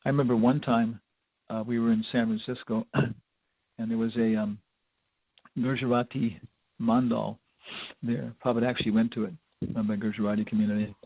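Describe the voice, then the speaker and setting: Older man, gravelly informal spiritual lecturer. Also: medium pitch, little pitch movement, normal speed, long pauses, no room sound. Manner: rambling, conversational, with wry humour. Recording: dull lo-fi lecture recording.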